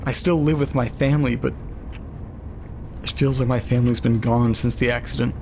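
A man's voice: medium pitch, steady tone, moderate pace, creaky, darkish tone, a little hiss or hum, close to an everyday voice. An actor playing an uneasy, lonely man reading eerie letters aloud in an audio drama monologue. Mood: bewildered, troubled. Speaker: narrator.

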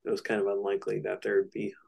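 A man's voice: fairly low pitch, monotone, quick pace, gravelly, a little mellow, breathy edge. A man co-hosting a casual album discussion, explaining things in a loose, slightly hesitant way. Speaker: podcast co-host.

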